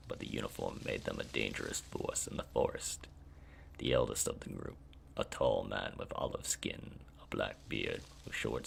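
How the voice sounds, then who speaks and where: A man reads dark fantasy prose in an extremely low register. His voice is thick with heavy fry and often drops into breath, as a dramatic storyteller's reading. A faint noise sits behind him. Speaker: author reading own story. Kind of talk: dramatic scripted fantasy narration.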